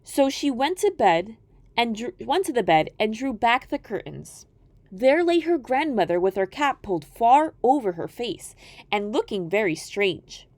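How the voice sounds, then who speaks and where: Female storyteller for children's folktale read-aloud: highish voice, very expressive melody, dry recording. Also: unhurried, no echo.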